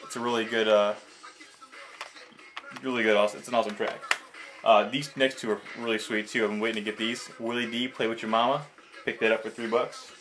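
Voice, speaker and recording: A man's voice: lowish voice, little pitch movement, brisk, pauses often, over music. A young man chatting casually and enthusiastically as a video host, slangy and prone to swearing, in an amateur recording. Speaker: video host showing a collection. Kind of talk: casual enthusiastic vlog chat.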